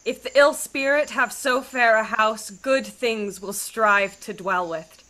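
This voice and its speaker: A woman's voice: on the high side, slow, bright, forceful tone, rough recording. A woman playing a tender, innocent, lovestruck young daughter, earnest and entreating, in a Shakespearean audio drama. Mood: adoring.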